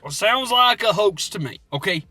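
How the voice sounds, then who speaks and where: A man playing an excitable, gullible country skeptic with a rural American accent, folksy and halting in comic banter. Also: high voice, no room sound, exaggerated voice. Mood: skeptical. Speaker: comedy podcast co-host.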